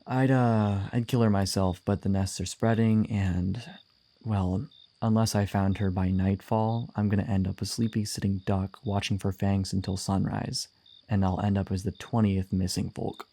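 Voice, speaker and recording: A man playing a gruff, wry, flirtatious monster hunter and bounty hunter in an immersive fantasy audio roleplay. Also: sits low, little pitch movement, moderate pace, warm tone. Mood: sly, bargaining. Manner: teasing, wry, swearing, sighing.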